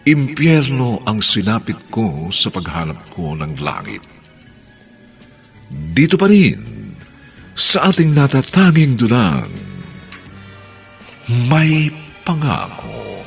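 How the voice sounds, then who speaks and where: Man, solemn radio drama announcer, slow, sweeping intonation, prominent music. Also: medium pitch, very animated, vocal fry. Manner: theatrical, grandiose.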